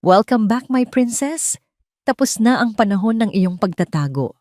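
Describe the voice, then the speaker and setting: A woman's voice: fairly high pitch, animated, normal speed, darkish tone, dry recording, studio quality, pitched above the performer's own voice, natural voice. A woman voicing a dignified elderly tycoon father who speaks with stately authority in an audio story. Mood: tender. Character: dignified elderly patriarch tycoon, commanding yet loving father.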